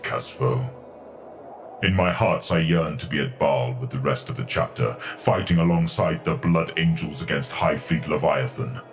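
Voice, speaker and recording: Man playing an extremely deep, mournful warrior chaplain. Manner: solemn, formal, measured phrasing. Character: melancholic, ashamed male warrior chaplain, weary and stern. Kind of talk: dramatic audio story character acting.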